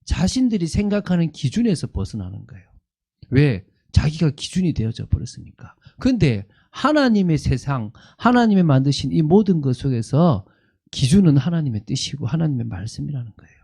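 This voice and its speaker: A man's voice: fairly high pitch, dark tone, slightly rough. A man, a pastor, teaching a Bible lesson, repeating points and asking questions that he answers himself. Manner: repetitive, asks questions and answers them himself.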